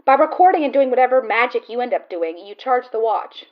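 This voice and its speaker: A woman plays a magical guide instructing her partner in an audio drama. Her voice sits fairly high. The sound is muffled and narrow. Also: animated, fairly quick, natural voice. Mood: brisk, instructive. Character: partner guiding a time traveler with magic. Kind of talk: scripted audio drama dialogue.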